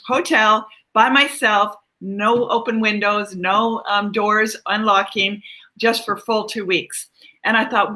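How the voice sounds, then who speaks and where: Older woman with a bright voice. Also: mid-range voice. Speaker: guest author. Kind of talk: conversational personal storytelling.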